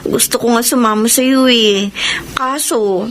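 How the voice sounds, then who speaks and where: An actress playing a loving young girlfriend who feels things deeply, in a Filipino radio drama. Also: unhurried, slight background noise, close to an everyday voice. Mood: affectionate. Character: loving young girlfriend, hurt and betrayed, recounting her heartbreak. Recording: radio drama with music underneath.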